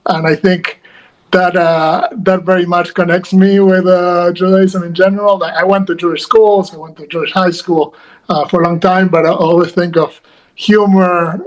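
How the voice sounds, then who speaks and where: A man with a somewhat high voice talks through an interview over a video call. He pauses often and fills the gaps with fillers, circling back and restarting his sentences. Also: low-quality recording. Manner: halting, many fillers and restarts. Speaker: guest author. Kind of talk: conversational interview.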